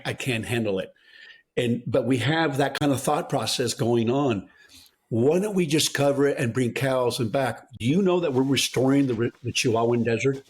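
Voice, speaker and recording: Impassioned, blunt American man. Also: medium pitch. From New Mexico, United States. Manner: blunt, exasperated, emphatic. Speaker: guest soil health advocate. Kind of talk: impassioned podcast discussion.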